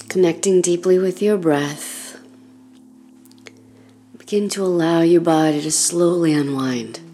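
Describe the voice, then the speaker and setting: A woman leading a guided meditation in a soft, breathy, soothing voice with gentle pauses, often close to a whisper. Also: deep voice. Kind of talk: guided meditation, body scan narration.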